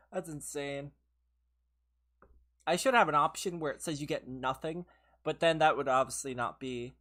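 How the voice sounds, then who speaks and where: A woman's voice: very deep voice, fairly bright tone. A woman streaming a game live from her own room, chatting with viewers, laughing often and teasing them, tired and losing her voice. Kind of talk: casual live gameplay commentary with chat.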